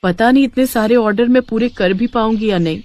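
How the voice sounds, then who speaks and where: A woman's voice: fairly high pitch, a little fast, darkish tone, lightly acted. A woman voicing an earnest young daughter-in-law in a moral story, emotive in manner. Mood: doubtful. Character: determined young daughter-in-law, hopeful purse maker.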